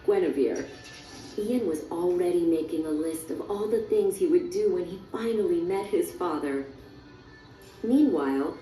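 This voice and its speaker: A woman's voice: on the low side, warm tone, reverberant, distant mic, poor audio. A woman reading a scripted children's story as a storybook narrator. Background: music.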